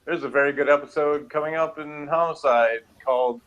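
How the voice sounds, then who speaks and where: A man's voice: a little high, fairly even intonation, brisk, a bit bright, muffled recording. A man in a casual review chat, rambling and hedging as he argues his points as a co-host. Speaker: podcast co-host reviewing TV episodes.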